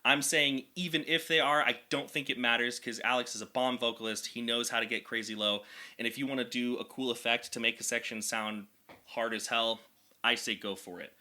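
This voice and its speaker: This young man's voice is very bright and sits higher than most men's. He talks in an energetic, chatty way as a vocal coach, going into technical detail on how the voice works. His pitch is moderate for a man. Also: fairly quick. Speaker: vocal coach reacting to music. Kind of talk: casual reaction and explanation.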